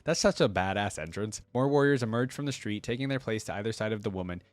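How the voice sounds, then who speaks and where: A man's voice: fairly low pitch, expressive, fast, dry recording. A man hosting a reaction video, reading a story aloud and commenting in a casual, slangy way.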